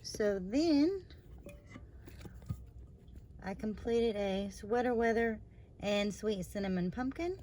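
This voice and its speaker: Slightly hoarse woman chatting about candles. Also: medium pitch, animated, slow, pauses often, warm tone, a little hiss or hum. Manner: rambling, chatty, with fillers and trailing asides. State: slightly hoarse after surgery. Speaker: candle review channel host. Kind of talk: casual product review vlog.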